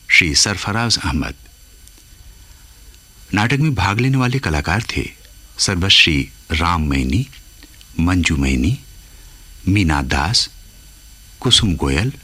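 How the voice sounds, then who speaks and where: A man's voice: sits low, pushed and bright, breathy edge, no echo. A man working as a radio announcer, reading formal broadcast credits.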